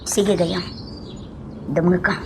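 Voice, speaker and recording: A woman playing a worried young working woman who confides haltingly in friends, in an Ilocano radio drama. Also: fairly low pitch, fairly even intonation, gravelly, noisy background. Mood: anxious confiding. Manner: hesitant, halting.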